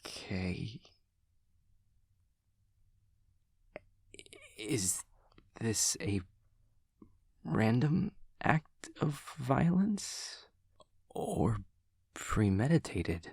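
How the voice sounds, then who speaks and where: A man voicing an awkward, caring young demon classmate in an intimate audio roleplay, with soft husky voice and stilted, formal, hesitant phrasing. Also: medium pitch, very animated, extremely slow, long pauses, no room sound, full character voice. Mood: puzzled, careful.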